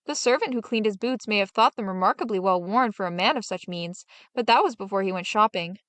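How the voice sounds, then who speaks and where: A woman storyteller reading a fairy tale aloud in an expressive, dramatic manner. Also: mid-range voice, fairly quick, dry recording. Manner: dramatic, animated.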